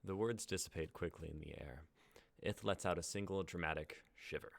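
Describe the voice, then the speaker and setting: A man's voice: deep voice, brisk, gravelly, no room sound. A young man reading stage directions as scripted narration. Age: young adult.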